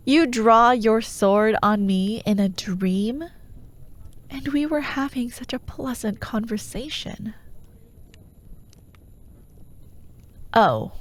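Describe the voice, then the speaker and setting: A woman playing a menacing, persuasive fantasy warlord who haunts dreams, grandiose and coaxing, in a breathy ASMR roleplay voice that often drops toward a whisper. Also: mid-range voice. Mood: wry, scornful. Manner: slow, grandiose, coaxing, mock-reasonable.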